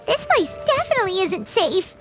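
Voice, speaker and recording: A woman's voice: extremely high pitch, big pitch swings, normal speed, faint music behind. A woman voicing a small, chirpy, curious childlike fairy companion in a video game. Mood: chatty, musing. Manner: exclaiming, speaks of self in third person.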